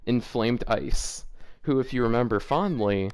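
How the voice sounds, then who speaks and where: A man with an ordinary mid-pitched voice reads a fan story aloud in a loose, casual way. He keeps stopping to laugh and mock the writing. The tone stays chatty and amused. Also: a little slow, a little mellow, clean tone. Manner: amused, laughing, mocking asides. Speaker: host reading fanfiction aloud. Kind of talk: casual reading with joking commentary.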